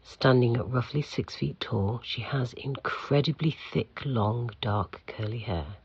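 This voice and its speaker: A man playing an aloof, pompous keeper of an institute for monsters in an audio drama, speaking in a formal, measured, curt way with a gravelly, husky voice. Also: mid-range voice, lo-fi sound. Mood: clinical, probing.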